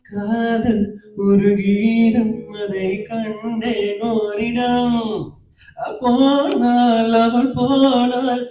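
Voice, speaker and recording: In an echoey room with music, an audition contestant sings. The delivery is very slow and drawn out, with sustained phrases in a mid-range voice. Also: medium pitch, flat delivery. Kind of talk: unaccompanied audition singing.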